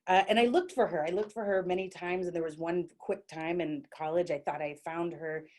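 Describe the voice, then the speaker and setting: A woman's voice: on the low side, fairly even intonation, fairly quick, darkish tone. A middle-aged woman from Dallas telling a funny personal story on stage, chatty and self-mocking, full of likes and restarts. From Dallas, Texas. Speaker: solo performer. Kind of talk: comic storytelling monologue.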